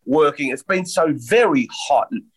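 Stuffy, nasal man with a highish voice. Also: expressive, moderate pace, breathy edge. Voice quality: nasal, blocked-up. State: congested, stuffy nose. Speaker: podcast co-host and golf commentator. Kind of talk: casual podcast chat.

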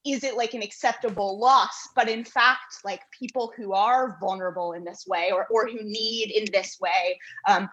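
A woman guest writer giving earnest, reflective interview answers in halting, carefully built phrases. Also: mid-range voice, a bit bright, slightly rough, lo-fi sound.

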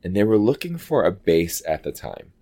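A man with a low voice, an actor telling stories in a casual interview and acting out quoted lines. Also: moderate pace. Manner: animated, chatty, acts out quoted dialogue. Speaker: Broadway actor guest.

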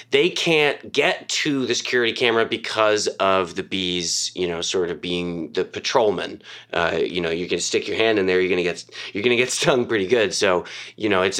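Halting, defensive eccentric inventor played by a man. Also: fairly low pitch, a bit bright, close to an everyday voice. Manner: halting, stammering, repeats himself. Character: eccentric, defensive male inventor pitching half-baked ideas. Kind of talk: naturalistic improvised-sounding comedy podcast acting.

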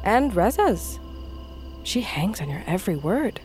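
A woman acting a young, confident, teasing warrior heir in a fantasy audio drama, with measured, archaic phrasing. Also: medium pitch, very animated, darkish tone, faint music behind. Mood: calm, knowing.

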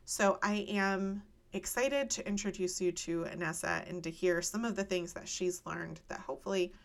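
A woman's voice: fairly low pitch, moderate pace, gravelly. A woman hosting a podcast, delivering a scripted introduction to listeners. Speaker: podcast host.

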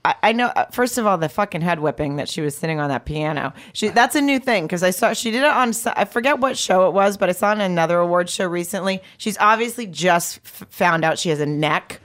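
A woman's voice: fairly low pitch, fast, few pauses. A young woman co-hosting a podcast, chatting casually and laughing often, swearing freely as she rants. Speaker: podcast co-host.

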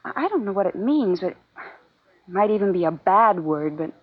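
Earnest young woman, yearning, medium pitch, 1950s radio drama style, muffled old broadcast. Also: animated, moderate pace, slightly rough. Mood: anxious, pleading. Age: young adult. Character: earnest, yearning young daughter, secretly in love with captive.

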